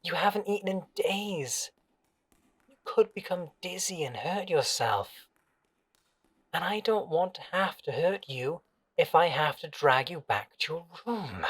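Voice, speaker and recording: A man's voice: on the high side, animated, a little slow, fairly bright tone, husky, exaggerated voice. A man playing an obsessive, possessive servant in a scripted roleplay audio drama, coaxing and deferential yet controlling. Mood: anxious pleading.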